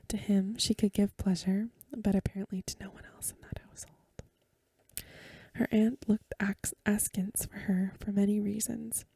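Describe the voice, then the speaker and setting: A woman reading a story aloud as soft-spoken ASMR, in a gentle, hushed, whispery voice close to the microphone. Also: mid-range voice, breathy edge, dry recording. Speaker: ASMR host.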